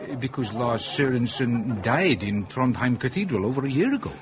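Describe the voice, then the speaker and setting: Give me an actor as a courteous, lively-pitched translator. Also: male voice, moderate pace, slight background noise, natural voice. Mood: insistent, polite. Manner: polite, hesitant, formal. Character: courteous, hesitant Norwegian translator, firm in his certainty. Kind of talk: radio drama dialogue.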